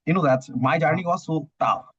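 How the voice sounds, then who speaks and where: A young Bangladeshi man with a fairly high voice speaks hesitantly, filling his pauses with "you know that" and "uh". The recording sounds dull and lo-fi. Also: fairly bright tone, slightly rough, no room sound. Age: young adult. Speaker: guest, student. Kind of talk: casual English practice chat.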